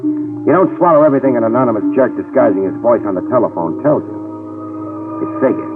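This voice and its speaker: An actor in a 1950s radio detective drama playing a tough, wisecracking middle-aged private eye who narrates in dry hard-boiled style. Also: male voice, mid-range voice, animated, fast, close to an everyday voice. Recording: tinny lo-fi 1950s radio with music cues.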